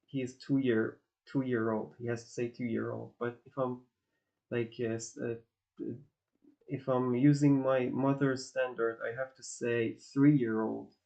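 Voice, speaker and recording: A man from northwest Iran, a native Azeri and Farsi speaker, chatting casually with his stream viewers and often pausing to search for words. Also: mid-range voice, little pitch movement, creaky, darkish tone. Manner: hesitant, searching for words, many restarts and fillers. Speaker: livestream host.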